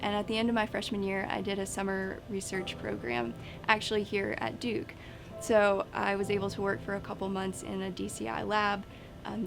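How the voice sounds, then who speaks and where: Young female student, medium pitch with vocal fry, music behind the interview. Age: young adult. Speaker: student researcher. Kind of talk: promotional interview testimonial.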